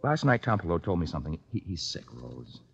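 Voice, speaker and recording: Earnest young man, low-voiced. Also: very animated, brisk, gravelly, slightly rough, full character voice. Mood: pleading. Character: earnest, pleading young fencer torn by loyalty. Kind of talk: 1950s radio drama acting. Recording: dull, lo-fi 1950s radio recording.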